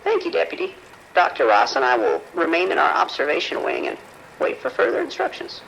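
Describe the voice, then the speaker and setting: Anxious male researcher, acted, very creaky high voice that swings widely, formal and hesitant, radio drama phone report. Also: wildly swooping pitch, a little fast, fairly bright tone, a little hiss or hum, full character voice, low-quality recording. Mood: polite, tense. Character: apologetic, worried research scientist reporting trouble, male. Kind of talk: audio drama phone dialogue.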